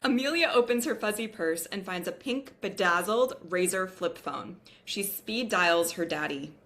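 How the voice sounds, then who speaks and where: A woman's voice: medium pitch, lively intonation, measured pace, fairly bright tone. A woman narrator reading scripted stage directions at a table read.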